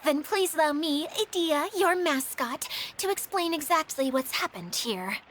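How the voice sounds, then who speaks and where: A woman's voice: high voice, animated, unhurried, a bit bright, a little hiss or hum. A woman voicing a timid, formal, easily flustered mascot caretaker in a video game, hesitant and apologetic. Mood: earnest, polite. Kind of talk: video game character dialogue.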